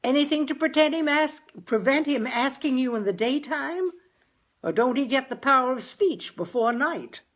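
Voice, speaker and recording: A gruff older New England father from a storybook, acted out by a woman. He speaks tersely and with dry wit, in a husky voice that moves expressively. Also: high voice, animated, normal speed, fairly bright tone, pitched above the performer's own voice. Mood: sarcastic. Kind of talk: audiobook character dialogue.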